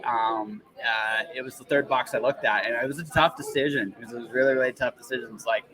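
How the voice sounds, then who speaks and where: A man's voice: medium pitch, fairly quick, rough recording. Rambling male podcast host, crowd noise behind. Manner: rambling, self-interrupting, frequent tags like "right?". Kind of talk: casual conversational interview.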